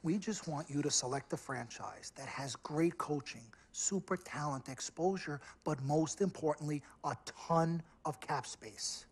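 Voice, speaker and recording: A smooth-talking sports agent. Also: male voice, a little high, unhurried, hardly any pauses. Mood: persuasive. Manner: persuasive, salesman-like. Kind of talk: video game cutscene acting.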